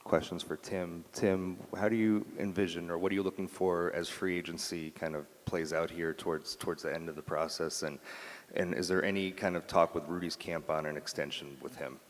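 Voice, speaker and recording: A man's voice: deep voice, fairly even intonation, brisk. A man asking questions as a reporter at a press conference. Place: indoor press conference room. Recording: reverberant press room.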